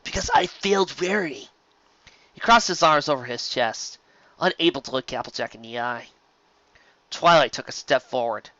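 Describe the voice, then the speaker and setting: A man's voice: medium pitch, moderate pace, bright, forceful tone, no room sound, dull audio. A man reading a scripted fantasy story aloud as its narrator. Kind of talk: scripted narration of fan fiction.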